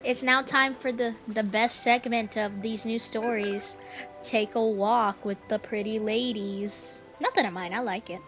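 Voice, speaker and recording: A woman's voice: on the high side, fairly even intonation, measured pace, a bit bright, faint music behind. A woman giving casual live commentary on a game, chatty and playful, with affectionate interjections. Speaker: gamer reacting to a game playthrough.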